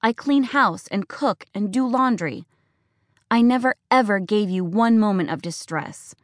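A woman's voice: on the high side, animated, moderate pace, dry recording, clean studio sound, pitched above the performer's own voice, natural voice. A woman voicing a defiant, tearful teenage farm girl who pleads and accuses. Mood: pleading, defiant.

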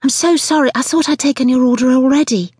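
A woman narrator acts a flustered young waitress from a storybook. The voice is high and lively, breathless and apologetic, tripping over small words in a close, dry recording. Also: moderate pace, slightly rough, no echo, exaggerated voice.

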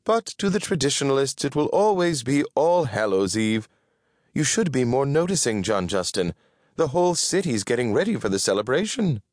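A man voicing a prim, fussy and excitable woman with a proper, exclamatory way of scolding, as in an audiobook. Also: medium pitch, normal speed, no echo, clean studio sound, voice pushed up, lightly acted. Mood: fussy, insistent.

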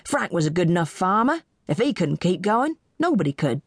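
A woman voicing a broad, gruff, middle-aged male farmer in an audiobook, blunt and combative, with colloquial country speech. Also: fairly low pitch, sing-song, moderate pace, no echo, clean studio sound, full character voice. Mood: grudging.

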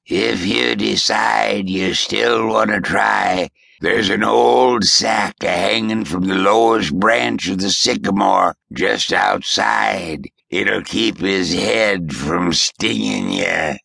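A hoarse, gurgling old swamp ghost, as a man puts him on, drawling each word out slowly in a very low, gravelly voice. He sounds distant and hollow, mocking and gruff, like a spirit speaking from a storybook. The sound is dry and close, with no room echo. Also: sharp tone, exaggerated voice. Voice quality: hissing, gurgling. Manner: slow backwoods drawl.

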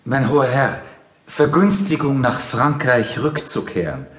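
A man's voice: medium pitch, very animated, measured pace, echoey room. Formal, eager young nobleman. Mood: respectful, earnest. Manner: formal, courtly. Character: respectful, eager young nobleman addressing his king. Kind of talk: classical audio drama acting.

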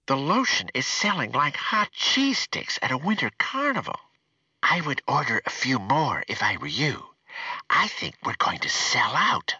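A man's voice: medium pitch, very animated, unhurried, sharp tone, slightly rough, dry recording, recorded from a distance, highs cut off, full character voice. A man voicing a sly female mouse telephone operator in a children's audiobook, confiding and knowing, through a telephone effect.

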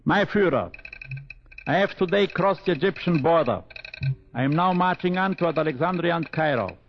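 An actor playing a boastful, imperious field marshal, declaiming dispatches in a 1940s radio drama. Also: male voice, on the high side, expressive, normal speed. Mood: grandiose. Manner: pompous, declaiming. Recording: muffled, hissy old radio recording.

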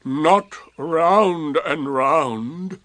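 Storybook donkey: elderly, dignified, deadpan and sarcastic, dragging every phrase, slightly raised pitch. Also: male voice, dry recording. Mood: aloof, dignified. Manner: slow, drawling, deadpan, ponderous. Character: gloomy, sarcastic, dignified old male donkey. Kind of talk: children's storybook character reading.

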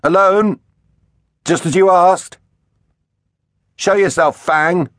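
A man's voice: on the high side, big pitch swings, moderate pace, no echo, clean studio sound, voice pushed up. A man playing a brave, cheeky dinosaur captain who is the young-spirited hero of a children's storybook. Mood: bold challenge.

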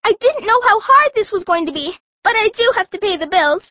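A performer voicing a small, earnest, excitable young pig in a children's audiobook, with emphatic delivery. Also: extremely high pitch, a little fast, dry recording. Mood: tearful dread. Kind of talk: audiobook character acting. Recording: dull, lo-fi audiobook sound.

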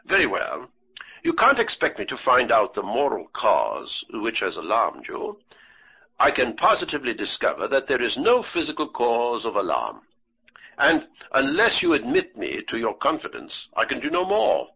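A man reading an audiobook, voicing a composed, proud physician who speaks in a measured, formal way. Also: mid-range voice, huge pitch swings, gravelly, slightly rough, dull audio, full character voice. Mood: firm.

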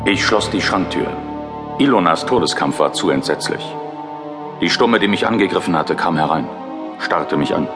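An actor playing a steady, regretful hero who fights vampires, earnest and dramatic, in a German horror audio drama. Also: male voice, sits low, normal speed. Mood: grim. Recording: echoey hall, music behind.

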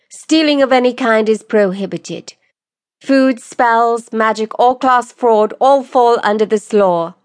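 A woman's voice: fairly high pitch, no echo, voice pushed up, natural voice. A woman voicing a stern noblewoman judge who speaks formally and with authority in a fantasy story. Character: stern commanding noblewoman judge reading out law and sentence.

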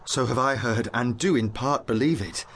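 A man's voice: mid-range voice, normal speed, fairly bright tone, a little hiss or hum, close to an everyday voice. An actor playing a learned, earnest young nobleman on night watch, declaiming verse in a dramatised Shakespearean audiobook. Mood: hushed wonder. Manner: eloquent, declamatory verse delivery.

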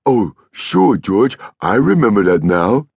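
A man voicing a big, childlike, simple-minded man in an audiobook, with slow, halting, naive rural American speech. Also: lowish voice, big pitch swings, gravelly, darkish tone, slightly rough, dry recording, clean studio sound, voice pushed up, exaggerated voice. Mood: delighted.

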